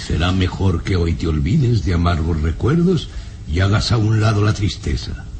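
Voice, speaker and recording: A man playing a stern, deliberate father of a grown daughter in a classic radio drama. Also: sits low, big pitch swings. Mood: firm. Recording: noisy old radio recording.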